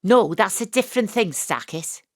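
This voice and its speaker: A woman's voice: mid-range voice, expressive, normal speed, dry recording, clean studio sound, close to an everyday voice. An actress with a Welsh accent playing a wry, sporty amateur detective who narrates her own story with self-mocking humour. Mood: lively. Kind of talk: first-person radio drama narration. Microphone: home studio mic.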